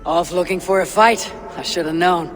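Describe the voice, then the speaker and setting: An actress playing a steady, bold and wry Norse warrior leader in a video game, measured and plainspoken. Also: female voice, lowish voice, soft background music, natural voice. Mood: determined. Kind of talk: dramatic video game acting.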